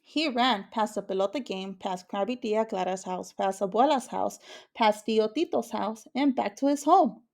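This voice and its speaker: A woman's voice: mid-range voice, normal speed, gravelly, a little mellow. A woman reading a children's picture book aloud as a storyteller. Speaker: story reader.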